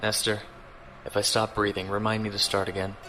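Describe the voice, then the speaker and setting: An actor playing a calm, commanding starship captain in a measured, authoritative way for an audio drama. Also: male voice, on the low side, music in the background, lightly acted. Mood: dryly anxious.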